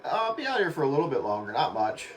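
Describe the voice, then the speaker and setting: A man's voice: fairly high pitch, wildly swooping pitch, fast, slight background noise. A man chatting casually and in a rambling, easygoing way as he hosts a stream from his radio set. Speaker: radio operator hosting a livestream.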